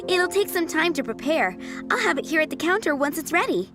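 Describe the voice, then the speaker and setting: Helpful young waitress, high voice, lively pitch, music behind. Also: female voice, moderate pace. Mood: friendly. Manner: warm, attentive service talk. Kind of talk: video game dialogue.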